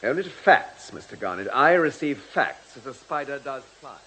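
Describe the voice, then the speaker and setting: An actor playing a courteous, probing chief inspector in a radio drama, with a measured, drily ironic way of questioning. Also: male voice, medium pitch, big pitch swings, fairly bright tone, a little hiss or hum. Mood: polite, firm. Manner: polite, measured, dry questioning.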